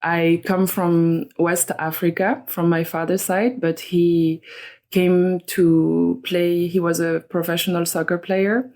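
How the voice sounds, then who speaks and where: A middle-aged woman from Switzerland, a guest speaking slowly and softly in a reflective interview, often pausing to search for words. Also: on the low side, level tone. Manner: slow, soft-spoken, searching for words. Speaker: guest, somatic educator and astrologer.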